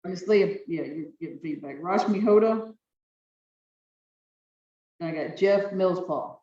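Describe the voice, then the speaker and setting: A woman judge with a deep voice presiding over virtual hearings, giving firm, brisk, repeated procedural instructions over a video call. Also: dark tone, slight background noise. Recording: echoey, distant, dull video-call audio.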